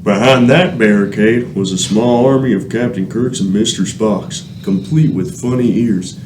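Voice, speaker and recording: A man's voice: lowish voice, normal speed, far from the mic, noisy background. A man narrating a scripted comic radio play, recorded in a roomy space away from the microphone.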